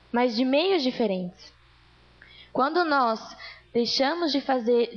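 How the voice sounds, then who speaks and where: A woman speaks to a church congregation in a fairly high, gravelly voice. She tells her testimony earnestly, like a story. The recording sounds dull and lo-fi. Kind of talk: testimony sermon.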